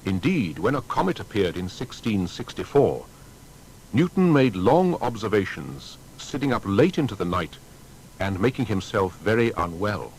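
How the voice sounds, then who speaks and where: Lowish, expressive male documentary narrator. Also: moderate pace, a little hiss or hum, poor audio. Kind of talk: scripted documentary biography narration.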